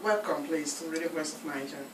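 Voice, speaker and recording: Low-voiced woman radio host, echoey. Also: fast talker. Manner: affirming, interjects agreement. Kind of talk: moderating a radio panel. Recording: echoey room, far from mic, noisy.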